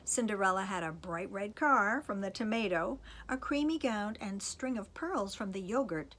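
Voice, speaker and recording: A woman's voice: mid-range voice, lively intonation, normal speed, a bit bright, slight background noise. A woman reading a storybook expressively to children, with a playful manner. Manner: playful asides to young listeners.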